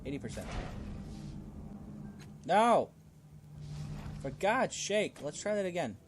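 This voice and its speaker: A man playing through a role-playing game, reading its text aloud and adding casual, expressive commentary of his own. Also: high-pitched, takes it slowly, slight background noise. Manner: expressive, reacting out loud to the game. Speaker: gaming video host playing a role-playing game.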